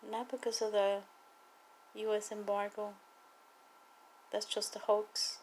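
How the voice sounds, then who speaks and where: A woman from Cuba with a Cuban accent, a native Spanish speaker, giving an earnest, emphatic personal testimony and political appeal with deliberate repetition. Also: mid-range voice, little pitch movement, darkish tone, slight background noise. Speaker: political activist speaking to viewers.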